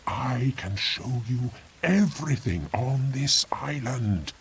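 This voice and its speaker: Fishy island monster from a storybook, bitter and servile, really dragged out words, swoopy pitch. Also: male voice, lively intonation, a little hiss or hum. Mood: coaxing. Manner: slow, drawn-out delivery. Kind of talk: storybook character voice.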